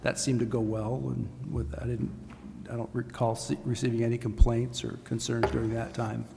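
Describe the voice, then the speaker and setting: City official guy, creaky mellow voice, slow to find words, roomy hall w/ some noise. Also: mid-range voice, monotone. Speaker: city administrator. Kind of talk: explaining at a public meeting. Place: council chambers. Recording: reverberant hall with faint noise.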